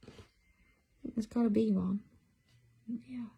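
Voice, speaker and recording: A woman's voice: on the high side, steady tone, slow pace, creaky, dark tone, husky. A middle-aged woman, hosting a craft livestream in casual chat and answering viewer comments as she works. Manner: chatty, reads out viewer comments while working.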